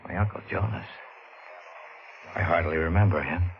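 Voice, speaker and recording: Lead: tough wisecracking drifter, lowish voice, hissy old radio. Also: male voice, moderate pace. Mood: wry. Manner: tough, sardonic, hard-boiled. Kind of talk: 1940s radio acting. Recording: muffled old radio recording with loud hiss.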